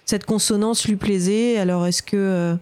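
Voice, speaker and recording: A middle-aged woman who works as a fisher and lecturer, answering interview questions in a hesitant way with many pauses and restarts. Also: medium pitch, steady tone, darkish tone, clean tone, no echo. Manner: hesitant, full of fillers and restarts.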